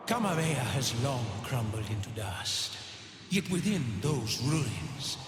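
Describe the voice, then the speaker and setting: A man's voice: mid-range voice, big pitch swings, takes it slowly. An actor voicing an undead, lovesick king in a video game, proud, grandiose and desperate for his lost queen. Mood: grandiose, menacing. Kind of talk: theatrical video game voice lines. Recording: roomy long reverb with music.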